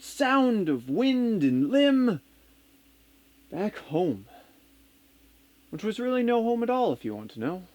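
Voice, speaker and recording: A man performs a bored gentleman of the 1930s in a comic stage monologue. The voice is highish and swings widely, pompous and theatrical, full of melodramatic self-pity. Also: full character voice. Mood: dramatic, then bored, disdainful. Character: bored, world-weary upper-class Englishman of thirty-one, 1930s.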